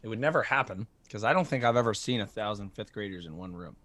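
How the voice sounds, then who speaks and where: A man's voice: on the low side, animated, quick pace, fairly bright tone, no room sound. A man in his twenties hosting a casual livestream chat, reading out chat and joking around. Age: 20s. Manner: joking, reads chat aloud. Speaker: livestream host.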